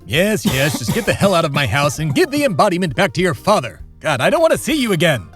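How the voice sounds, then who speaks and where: A man plays a pompous, centuries-old stoner who hosts a comedy audio drama. His speech is thick-tongued, formal and irritable, pitched on the high side and highly animated. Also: quick pace, faint music behind. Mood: stern, irritable. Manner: pompous, formal, foul-mouthed.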